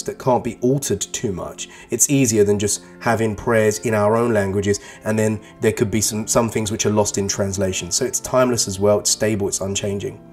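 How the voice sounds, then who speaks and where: A man's voice: on the low side, darkish tone. Earnest East London man teaching. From England. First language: Arabic. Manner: earnest, persuasive, emphatic repetitions. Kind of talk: voice-over religious lesson for pupils. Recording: voice-over with faint guitar music.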